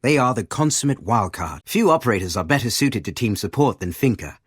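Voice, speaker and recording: An actor playing a male special-forces director in a video game, giving formal, measured, scripted appraisals of his operatives. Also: medium pitch, big pitch swings, breathy edge, no echo, studio quality. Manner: formal, measured, deliberate delivery. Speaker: game announcer introducing operators. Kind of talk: scripted narration. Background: music.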